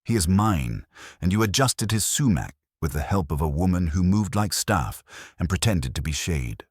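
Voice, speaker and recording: A man's voice: deep voice, big pitch swings, normal speed, slightly rough, no room sound, clean studio sound, pitched above the performer's own voice, heavily acted. A man voicing an imperious, cerebral detective in an audiobook, pompous, formal and commanding as he passes cold judgement.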